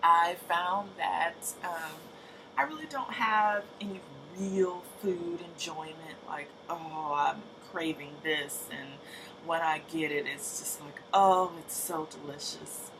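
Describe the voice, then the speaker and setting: A woman's voice: low voice, slow pace, noisy background. A woman in her late thirties giving a casual, hesitant personal update to camera, full of ums and restarts, sounding tired. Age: 30s. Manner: soft-spoken, hesitant, many ums and restarts. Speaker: vlogger.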